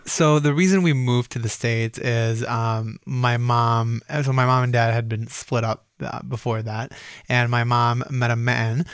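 A man who was born in Egypt and raised in Minnesota answers interview questions in a flat, even tone. His pace is steady and his talk is loose, full of "you know" and "uh". The recording is dry and close. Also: medium pitch, measured pace, smooth, dry recording. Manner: flat, steady, uses many fillers. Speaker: guest, business owner.

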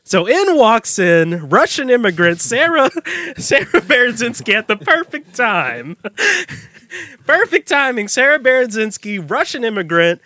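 A man telling a comedic story casually among friends, in an animated, slangy, giggly way. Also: extremely high pitch, unhurried. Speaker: podcast host telling a story.

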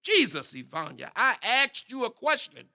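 A man's voice: very high-pitched, very animated, normal speed, gravelly, pushed and bright, heavily acted. An actor playing a stern, easily riled middle-aged pastor and father who scolds in an exasperated, blustering way. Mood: outraged.

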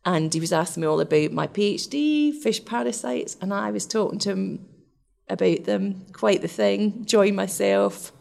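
A middle-aged woman from the west of Scotland with a Scottish accent, telling stories in a relaxed, animated way as an interview guest. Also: medium pitch, normal speed, gravelly. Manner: chatty, animated, laughs often. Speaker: interview guest, research scientist. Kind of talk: casual storytelling interview.

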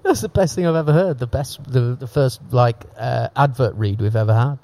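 A man's voice: mid-range voice, fairly quick, vocal fry, mellow. A man hosting a casual podcast, teasing his guests with wry banter. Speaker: podcast host. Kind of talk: casual chat.

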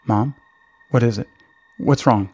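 A man's voice: medium pitch, slow, darkish tone, slightly rough, no echo. A man reading the part of a worried young college woman in an audiobook, with a firm, urging, questioning manner. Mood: alarmed, insistent.

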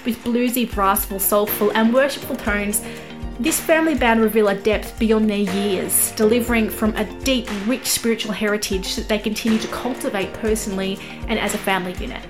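A woman's voice: medium pitch, a little fast, music in the background. An Australian woman working as a host, speaking with effusive enthusiasm as she introduces and interviews guests. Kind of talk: scripted introduction and friendly interview chat.

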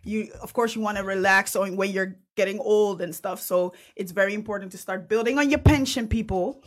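A woman's voice: medium pitch, brisk. A young woman from the Netherlands, a native Dutch speaker, chatting casually as an interview guest and laughing now and then. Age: young adult. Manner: chatty, laughs while talking. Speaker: guest, web-series co-creator.

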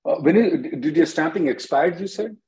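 A man, hosting a call-in as an attorney, briskly answering questions and repeating himself. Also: on the high side, lively intonation, a little mellow. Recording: dull lo-fi conference call audio.

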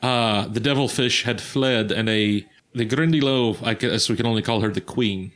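A man's voice: fairly low pitch, moderate pace, fairly bright tone. A man recapping a tabletop adventure in character, with formal, old-fashioned phrasing and hesitations. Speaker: player recapping the story.

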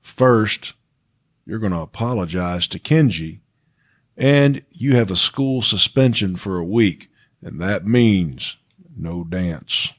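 A stern school principal played by a man, with a lowish voice and a slow, deliberate delivery. He is firm and no-nonsense, in the style of amateur radio theater. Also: expressive, full character voice.

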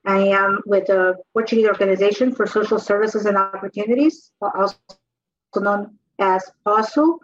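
A woman's voice: medium pitch, normal speed, dry recording. Woman from angola, polite and formal, introducing her agency, muffled sound. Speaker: social service worker. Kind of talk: self-introduction.

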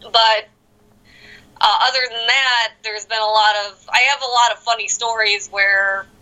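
A young woman with an American Midwest accent, a guest in a casual interview, telling animated stories with frequent laughter. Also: fairly high pitch, measured pace, clear, resonant tone, dull audio. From South Korea. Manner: animated storyteller who laughs often. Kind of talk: casual conversation.

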